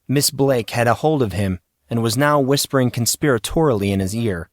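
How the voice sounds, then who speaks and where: A man's voice: medium pitch, fairly quick, no room sound, studio quality. A man reading scripted fiction narration as the narrator of an audio story.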